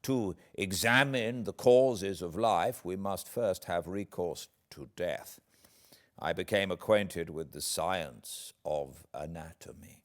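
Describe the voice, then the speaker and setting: A British man narrates classic literature in a very low voice with a gravelly creak. His delivery is theatrical and measured, with deliberate pauses and wide swings of intonation. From Britain. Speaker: audiobook narrator. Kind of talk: dramatic literary reading of a classic novel.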